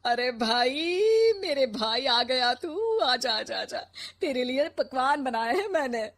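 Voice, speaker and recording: A woman's voice: high voice, big pitch swings, takes it slowly, fairly bright tone, exaggerated voice. A woman playing a bossy, plotting older mother-in-law in a comic family story, dramatic and complaining. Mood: doting.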